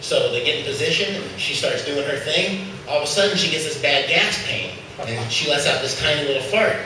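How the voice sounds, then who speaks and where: A stand-up comedian with a slightly high voice tells his jokes loosely, with "uh" filling the pauses. The recording comes from far off in a club that echoes heavily. A steady layer of noise sits under his voice. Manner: loose joke-telling with frequent "uh" pauses. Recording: echoey noisy club room from afar.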